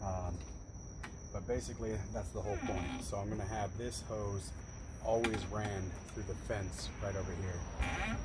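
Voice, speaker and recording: A fairly low-voiced man in his forties talks through his gear in a loose, rambling way, full of ums and uhs. He is recorded outdoors on a camera mic. Crickets and insects fill the background noise. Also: unhurried. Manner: relaxed, rambling, many fillers. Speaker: detailing business owner showing gear. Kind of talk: casual vlog walkthrough. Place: outdoors by a fenced wall at night, later daytime.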